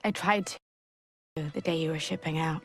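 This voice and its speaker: A woman's voice: sits low, steady tone, normal speed, soft background music. An actress playing a young wartime sweetheart, hesitant and tender, reading out her letter in a game. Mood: apologetic. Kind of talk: letter voice-over in war game.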